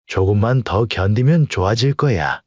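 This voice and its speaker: A man plays a steady older father in a storybook audio drama, his voice low and unhurried. The sound is dry and slightly dull, with no room around it. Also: no room sound. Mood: calm, reassuring. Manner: measured, fatherly, speaks in plain statements. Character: calm older father backing his son-in-law.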